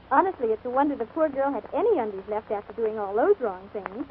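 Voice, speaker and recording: Vintage radio commercial woman, high and chirpy, scripted advice, tinny crackly broadcast. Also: lively intonation, moderate pace. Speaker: advertisement co-presenter. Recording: scratchy, narrow-band 1940s radio broadcast.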